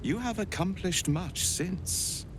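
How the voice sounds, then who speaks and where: An actor playing a haughty alien warrior leader in a video game, speaking formally and deliberately. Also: male voice, high voice, expressive, background noise. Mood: coolly intrigued. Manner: formal, deliberate, coolly appraising.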